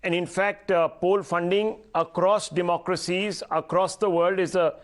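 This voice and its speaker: A man's voice: high voice, steady tone, normal speed. A man anchoring a TV news debate, firmly cutting in to steer the speakers and give his own commentary. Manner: firm, cuts in to steer speakers. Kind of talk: moderating a studio debate.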